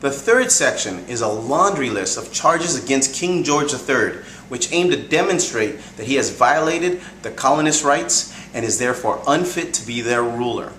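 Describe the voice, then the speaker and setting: A man giving an earnest, measured, prepared introduction as a presenter. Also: mid-range voice, lively intonation, a bit bright. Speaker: presenter introducing a group reading. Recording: echoey room, faint background noise.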